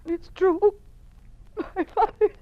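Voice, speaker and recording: A woman's voice: extremely high pitch, steady tone, takes it slowly, dry recording. An actress playing an earnest, principled woman schoolteacher, emphatic and dignified, in 1950s radio drama style. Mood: grieving. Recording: muffled lo-fi old radio broadcast.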